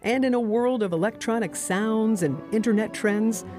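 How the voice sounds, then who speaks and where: A woman's voice: a little high, moderate pace. A woman giving scripted, polished narration for a news feature. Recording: music clearly behind the voice.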